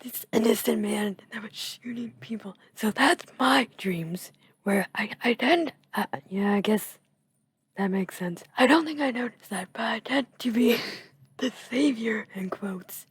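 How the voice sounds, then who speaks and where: A woman's voice: on the high side, animated, unhurried, husky, no room sound. A woman whispering casually while she plays a video game, rambling and musing as she goes. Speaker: video creator. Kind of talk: whispered gameplay chat.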